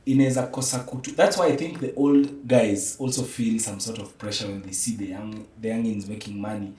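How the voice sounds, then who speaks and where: A man's voice: fairly low pitch, steady tone, brisk, warm tone. A man with a Kenyan accent in reflective conversation, speaking emphatically and philosophically and slipping between English and Swahili. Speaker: podcast guest, theatre practitioner. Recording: roomy, echoey, distant from mic.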